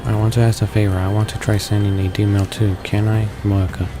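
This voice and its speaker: A man's voice: fairly low pitch, level tone, fairly quick, music in the background. A man reading visual novel text aloud as a let's play host, stumbling over words as he goes.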